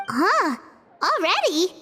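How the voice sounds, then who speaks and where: A woman voicing a tiny, childlike game companion, curious and excitable, with a chirpy, exclamatory delivery. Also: very high-pitched, slow, heavily acted. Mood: surprised, eager. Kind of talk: video game character acting.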